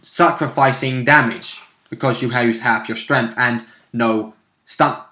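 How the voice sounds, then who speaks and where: Rules-minded player in an echoey room. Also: male voice, mid-range voice, steady tone, measured pace, sharp tone. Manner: explains rules in long, detailed runs. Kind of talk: casual chat about game rules.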